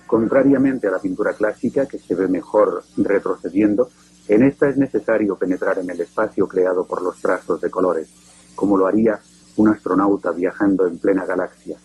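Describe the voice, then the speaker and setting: A man narrating a documentary in a grave, deliberate scripted voice. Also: sits low, a little mellow, slightly rough, poor audio.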